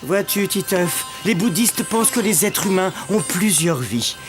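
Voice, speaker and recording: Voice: medium pitch, expressive, measured pace, lightly acted. A performer voicing an earnest, bookish cartoon know-it-all who explains things in a solemn, didactic way. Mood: solemnly explaining. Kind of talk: French cartoon dub acting. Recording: music behind the voice.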